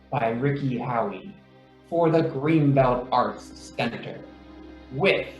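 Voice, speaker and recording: A man announcing radio drama credits in a formal, deliberate, scripted manner. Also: medium pitch. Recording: roomy echo, faint music.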